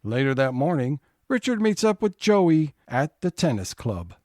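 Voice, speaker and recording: A man narrating a scripted audio comedy episode as its announcer, in a measured storytelling manner. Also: fairly high pitch, big pitch swings, darkish tone, dry recording, clean studio sound, soft background music. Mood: presenting.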